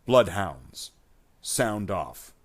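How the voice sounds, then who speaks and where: A man's voice: deep voice, sing-song, very slow, full character voice. A man reading the part of a stern space marine squad leader in a story, giving clipped, terse orders. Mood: commanding.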